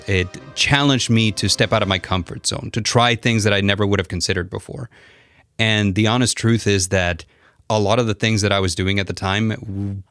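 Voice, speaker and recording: Male voice actor, low register, expressive first-person storyteller with a dramatic touch, light music underneath. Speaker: voice actor and content creator. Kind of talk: personal storytelling with numbered lessons. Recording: music behind the voice. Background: background music.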